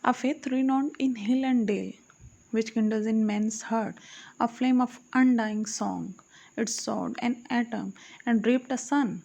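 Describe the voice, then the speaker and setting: Female audiobook narrator, higher-pitched, solemn recital of archaic verse with self-corrections. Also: a little slow, creaky, a little mellow. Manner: solemn recitation, correcting her own slips. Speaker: audiobook reader. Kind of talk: reading archaic poetry aloud.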